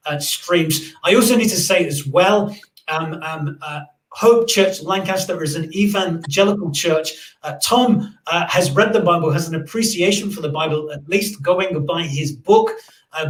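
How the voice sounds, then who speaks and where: A man hosts a live-streamed interview in a loose, chatty way, full of "uh"s and restarts. His voice sits high for a man. The room around him rings with echo. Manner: chatty, many fillers and restarts. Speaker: podcast host.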